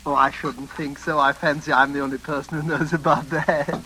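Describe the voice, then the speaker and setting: An actor playing a jaunty, overfamiliar stranger with a sinister joviality in an old-time radio drama. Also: male voice, fairly high pitch, little pitch movement, brisk, pushed and bright. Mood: smug, musing. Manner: jaunty, chuckling, punctuates with "eh". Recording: noisy vintage radio drama recording.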